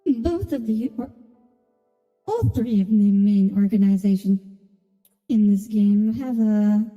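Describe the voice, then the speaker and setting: A woman's voice: medium pitch, slow pace, mellow, lo-fi sound. A woman giving casual, blunt and opinionated commentary while playing a game. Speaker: game streamer. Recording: long reverb, music behind. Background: game music.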